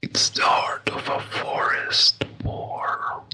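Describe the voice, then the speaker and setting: A woman's voice: slow, bright, forceful tone. Woman as an echoing, whispering magic mirror spirit. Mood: solemn. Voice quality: breathy whisper. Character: servile magic mirror spirit serving an evil queen. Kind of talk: ASMR roleplay.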